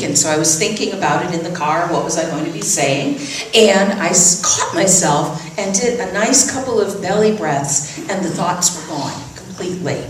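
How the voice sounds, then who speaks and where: A woman minister leading a breathing exercise during a church service, chatty and self-deprecating with gentle instructions. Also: lowish voice, a little hiss or hum. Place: church sanctuary. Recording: roomy hall, voice from far off, faint ambience.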